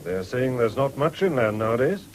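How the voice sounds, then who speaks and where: An actor playing a polite, gentlemanly English country doctor in a radio drama. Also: male voice, mid-range voice, animated, normal speed, natural voice. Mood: friendly, interested. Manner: polite, smooth-mannered, gentlemanly. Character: courteous, genial country doctor with a shooting interest. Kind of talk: 1970s British radio drama acting. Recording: noisy old radio broadcast recording.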